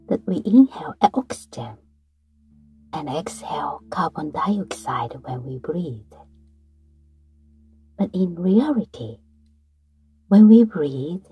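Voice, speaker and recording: A woman guiding a breathing meditation in a slow, soft voice that often drops to a whisper. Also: medium pitch, breathy edge. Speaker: meditation guide. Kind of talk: guided meditation narration. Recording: soft guitar music behind.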